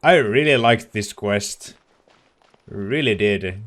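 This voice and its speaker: A man's voice: on the low side, slow, a bit bright. A man giving casual, reactive commentary as he plays through a game. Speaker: let's play streamer. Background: game music.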